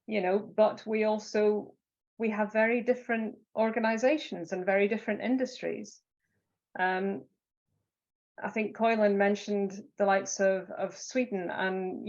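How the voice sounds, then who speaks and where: Halting woman panelist, muffled sound. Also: mid-range voice. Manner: halting, many fillers and restarts. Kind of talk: panel discussion.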